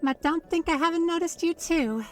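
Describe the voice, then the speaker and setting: A woman's voice: very high voice, normal speed, far from the mic, soft background music. A woman playing a playful, proud young witch who talks in a teasing, theatrical way in a fantasy audio drama. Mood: teasing, intrigued. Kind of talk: fantasy audio roleplay acting.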